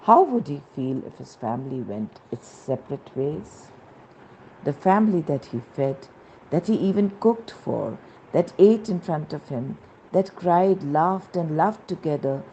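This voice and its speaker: A woman reading devotional narration in a reverent, measured manner with emphatic phrasing. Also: sits low, creaky, warm tone, dull audio, slight background noise.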